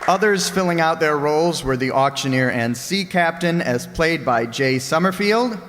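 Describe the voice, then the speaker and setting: A man's voice: a little high, animated, normal speed. A man announcing a recreated old-time radio show in a scripted style. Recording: echoey hall with noticeable noise.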